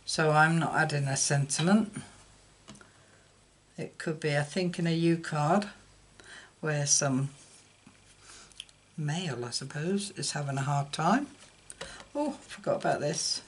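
A woman's voice: low-pitched, expressive, slow pace, pauses often, husky. An older woman chatting through a card-making tutorial in a casual, self-deprecating way. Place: indoor craft table. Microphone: camera over a desk, hands only.

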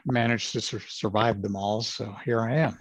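A man in his sixties, a retired ranger, telling his stories in a measured, plain-spoken way with many fillers. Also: lowish voice, steady tone, no echo. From Los Angeles, United States. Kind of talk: casual interview storytelling.

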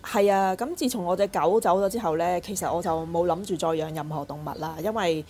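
A woman's voice: lowish voice, a little fast, a little mellow, slight background noise. A woman with a Hong Kong Cantonese accent telling personal stories in casual chat as a podcast co-host, acting out the dialogue.

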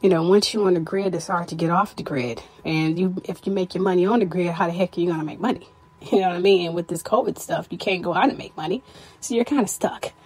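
A tired, stressed woman hosting her own podcast, talking candidly to listeners in a casual personal update, her voice a little hoarse. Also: on the low side, fast talker, darkish tone. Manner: candid, weary, stressed, with frequent "you know". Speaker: podcast host.

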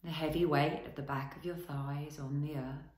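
Woman, low pitch, guided meditation teacher, gentle phrasing with long pauses, roomy echo. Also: fairly even intonation. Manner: gentle, unhurried instruction with long pauses between short phrases. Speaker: guided relaxation and movement teacher. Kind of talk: guided body scan meditation.

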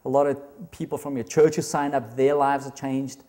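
A man's voice: mid-range voice, level tone, moderate pace, warm tone. A man in his thirties with a South African accent, giving an earnest, reflective interview about his church work. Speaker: church staff member. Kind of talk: testimonial interview. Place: indoor room with brick wall.

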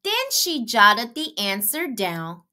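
A woman narrating a children's storybook in an expressive, deliberate read-aloud style. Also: mid-range voice. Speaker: children's book reader.

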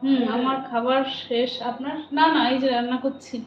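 A woman's voice: sits high, little pitch movement, moderate pace. A woman hosting a casual live chat from home, greeting viewers, thanking them and repeating her questions to them. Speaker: live stream host. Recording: dull, echoey live stream with clear background noise.

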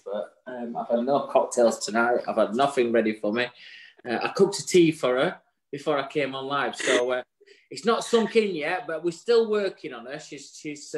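A man's voice: medium pitch, low-quality recording. A man, co-hosting a casual chat show over a video call, jokey and chatty, with the odd swear word. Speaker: co-host. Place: home room with virtual bar backdrop. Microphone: video call webcam.

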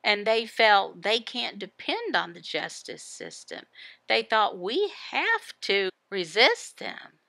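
A woman voicing a Bible devotional, explaining it phrase by phrase in a deliberate manner with pauses. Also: mid-range voice, huge pitch swings, creaky, pushed and bright, no room sound. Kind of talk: Bible teaching, voiced devotional.

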